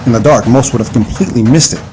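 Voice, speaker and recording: A man's voice: mid-range voice, rapid-fire, background noise, close to an everyday voice. A man playing an earnest, folksy adventurer who tells his tale in an audio drama. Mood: narrating. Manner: earnest storytelling. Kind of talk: audio drama narration and acting.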